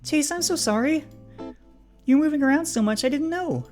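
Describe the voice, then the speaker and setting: A man doing a soft, high-voiced lynx guy. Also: lively intonation, moderate pace, soft background music. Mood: apologetic. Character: gentle, friendly young male lynx.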